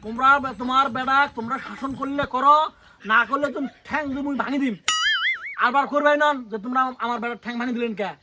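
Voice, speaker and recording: Man playing a shrill, boastful village tough guy. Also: fairly even intonation, fast talker, over music. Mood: threatening. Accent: northern Bangladeshi. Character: threatening, boastful village tough guy, protective older brother. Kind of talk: comedy skit acting.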